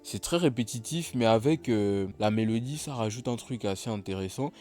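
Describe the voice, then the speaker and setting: A man with a slight cold explaining how he built a beat, in a relaxed, chatty way with frequent hesitations. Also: on the low side, normal speed, faint music behind. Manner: laid-back, chatty, many hesitations. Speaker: beatmaker walking through his own production. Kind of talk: casual tutorial narration.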